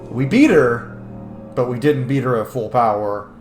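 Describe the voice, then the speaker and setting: Lively, echoey male gamer commentating. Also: medium pitch, normal speed, faint music behind. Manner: informal, joking, profane. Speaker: gaming video host. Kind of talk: casual gameplay commentary. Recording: roomy echo, faint noise.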